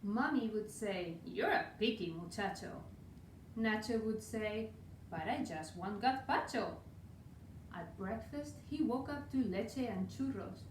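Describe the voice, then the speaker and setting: Woman, Spanish accent, storytime reader, lively rhythmic reading, distant camera, roomy echo. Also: medium pitch, a little slow. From Spain. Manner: lively, rhythmic read-aloud with Spanish words. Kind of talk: reading a picture book aloud. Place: small room indoors. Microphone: far camera. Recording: roomy, distant, faint hiss.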